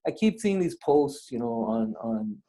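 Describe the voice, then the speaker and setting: A man's voice: mid-range voice, big pitch swings, normal speed, warm tone, slight background noise. A man from Pakistan hosting an interview, talking casually and rambling with plenty of "you know" fillers. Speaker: podcast host.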